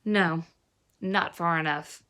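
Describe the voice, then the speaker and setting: A woman's voice: lowish voice, fairly even intonation, slow, pushed and bright, pitched below the performer's own voice. A woman voicing a troubled, stubborn young boy in a storybook, with a terse, intense way of talking.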